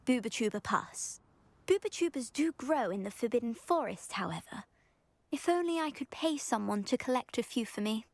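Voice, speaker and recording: A snobbish teenage schoolgirl entrepreneur in a fantasy game. She has a British accent and a highish voice, pompous and affectedly genteel, full of vanity. The recording is very dry and close. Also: no echo. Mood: grand, persuasive. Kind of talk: video game character acting.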